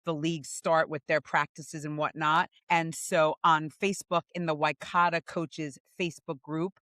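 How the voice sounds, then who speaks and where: A woman's voice: low voice, flat delivery, normal speed, a bit bright, no room sound, studio quality. A woman co-hosting a casual podcast, upbeat and playful as she chats and introduces her guest. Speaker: podcast co-host.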